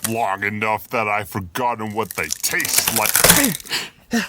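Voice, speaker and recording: A man's voice: fairly low pitch, very animated, takes it slowly, very noisy. A man voicing a stubborn, hungry and vengeful predator animal in a dramatic comic dub. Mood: impatient, hungry. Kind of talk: dramatic comic fandub acting.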